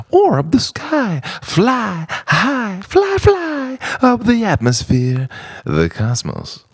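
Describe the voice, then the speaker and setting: A man delivers a dramatic spoken word piece in slow, weighted phrases. His voice is gravelly with fry and moves across a huge range between hushed and forceful delivery. The sound is dry and close. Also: low-pitched, dry recording. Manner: dramatic, swinging between hushed whispers and shouted outbursts; short, deliberate phrases with heavy pauses. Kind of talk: theatrical spoken word poetry monologue.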